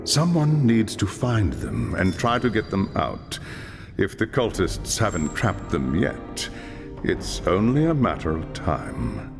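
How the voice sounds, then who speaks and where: A man playing a stern, weary commander in a video game, speaking in a measured, solemn, authoritative way. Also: lowish voice, very animated, creaky, heavily acted. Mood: grim. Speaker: military commander giving orders. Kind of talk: dramatic video game voice acting. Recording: long hall reverb, faint music.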